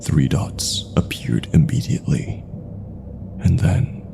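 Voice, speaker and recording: A man narrating a scripted horror story with deliberate pauses, his phrases sometimes trailing into hushed breath. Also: rumbling low, vocal fry, background noise.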